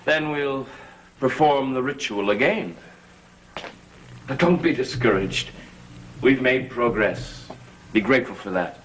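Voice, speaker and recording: A man playing a solemn, occult-minded cousin in a gothic TV soap, speaking in a measured, formal way. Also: mid-range voice, lightly acted, poor audio. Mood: grave, reassuring. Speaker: actor in a TV serial. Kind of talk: gothic soap opera acting. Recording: old TV soundtrack, faint noise.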